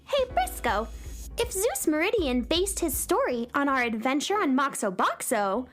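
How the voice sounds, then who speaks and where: A woman's voice: sits high, very animated, moderate pace, soft background music. A woman playing a perky, sweet young space cowgirl in a children's radio drama, gushing with wide-eyed enthusiasm. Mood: excited.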